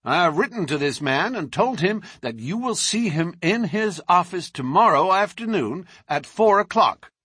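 A man's voice: high-pitched, lively intonation, breathy edge, dry recording, studio quality, pitched above the performer's own voice, heavily acted. A man voicing a brash American lawyer who pushes hard and talks in a hurry. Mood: pushy. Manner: forceful, hurried. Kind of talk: audiobook character reading.

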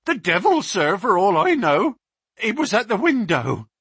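A man voicing a terrified male police constable who speaks breathlessly in a high, rising voice. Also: big pitch swings, unhurried, fairly bright tone, husky, no room sound, studio quality, full character voice. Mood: frightened.

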